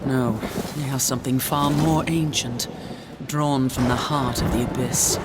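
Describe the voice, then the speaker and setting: A woman's voice: a bass voice, fairly even intonation, unhurried, very noisy. A woman playing a proud angel warrior leader in a video game, speaking in a solemn, formal, commanding way. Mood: grave, solemn. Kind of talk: video game character acting.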